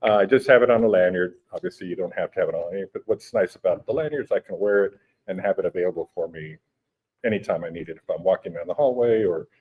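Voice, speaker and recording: A man in his forties whose first language is Spanish, explaining things in a relaxed interview with many hesitations and restarts. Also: sits low, quick pace, husky, narrow, tinny audio. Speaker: guest, education technology company director. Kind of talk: conversational interview. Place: conference venue.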